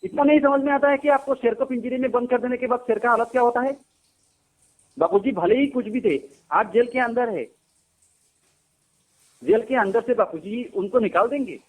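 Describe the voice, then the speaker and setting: High voiced guy, gujarati native speaker, arguing hard on a phone call, scolding n repeating himself. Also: moderate pace, long pauses. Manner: scolding, insistent, repeats challenges and questions. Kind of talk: heated phone argument.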